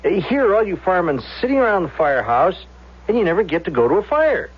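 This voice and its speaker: An actor playing an eager, polite and glib radio interviewer in a 1970s radio drama. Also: male voice, sits high, big pitch swings, quick pace. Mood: eager, probing. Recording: dull, noisy vintage radio recording.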